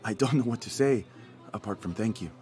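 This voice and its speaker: A middle-aged man playing an anxious, grateful working-class village father in a video game cutscene, speaking in a halting, earnest way. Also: lowish voice, warm tone, soft background music, lightly acted.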